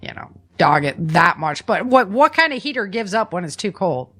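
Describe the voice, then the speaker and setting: A woman's voice: medium pitch, normal speed. Casual, slangy woman podcast host. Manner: informal, slangy, expressive. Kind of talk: casual chat about preparedness.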